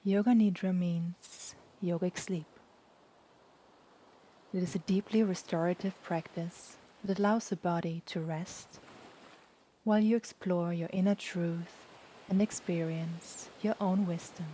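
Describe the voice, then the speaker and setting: A woman guiding a yoga nidra relaxation in a soft, soothing, unhurried voice with long pauses. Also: lowish voice, rough recording. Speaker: yoga nidra meditation guide. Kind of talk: guided relaxation meditation. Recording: steady background noise, ocean waves. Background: sound of the ocean.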